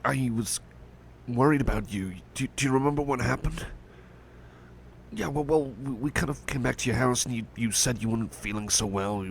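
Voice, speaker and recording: A man's voice: on the low side, vocal fry, fairly bright tone. A man playing a shy, caring cyborg friend in an ASMR roleplay, speaking hesitantly with stammers and earnest reassurance. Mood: worried, relieved. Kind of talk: ASMR personal attention roleplay. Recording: faint background noise and ambience.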